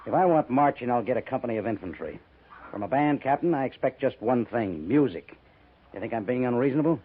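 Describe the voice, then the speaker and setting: An actor playing a gruff, irritable cavalry major with a clipped, commanding manner in a 1950s radio western. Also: male voice, mid-range voice, animated, brisk. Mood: irritated, stern. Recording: narrow muffled 1950s radio recording.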